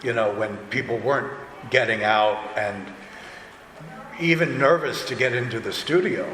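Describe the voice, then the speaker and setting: A man's voice: medium pitch, moderate pace, fairly bright tone. Chatty man in an echoey hall. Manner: chatty, anecdotal. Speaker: director on a panel. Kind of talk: informal panel discussion. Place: indoor convention panel room. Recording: echoey hall, faint noise.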